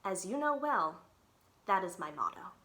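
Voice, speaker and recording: A woman voicing a kindly, eager man who lends books in a children's story, encouraging and gently insistent. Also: medium pitch, sing-song, moderate pace. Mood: gentle, wise.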